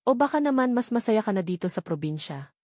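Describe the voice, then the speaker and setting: A woman's voice: medium pitch, animated, fast talker, a little mellow, no echo, studio quality, natural voice. A woman voicing a haughty, glamorous female villain with sweet venom, taunting in a mix of English and Tagalog for an audio drama.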